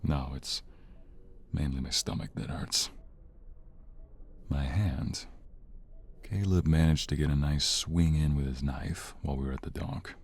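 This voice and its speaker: Man acting very deep, gravelly devoted young mobster. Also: heavily acted. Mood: tender, soothing. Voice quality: gravelly, husky. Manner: tender, halting, protective. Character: injured mobster, devoted anxious lover and expectant father. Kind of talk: intimate ASMR audio roleplay acting.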